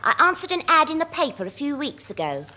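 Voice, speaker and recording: A woman's voice: high-pitched, very animated, fast, sharp tone, full character voice. A woman playing a bored young office secretary, chatty and aggrieved, with an English accent in a British radio sitcom. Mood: fed up. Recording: studio radio comedy recording.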